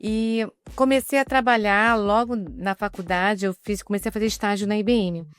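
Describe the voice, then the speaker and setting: A woman in her fifties from Rio de Janeiro with a softened carioca accent, speaking as a guest on an executive panel in a measured, explanatory way with light humor. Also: medium pitch, fairly even intonation, smooth, dry recording, clean studio sound. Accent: Carioca Portuguese, softened. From Rio de Janeiro, Brazil. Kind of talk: panel discussion.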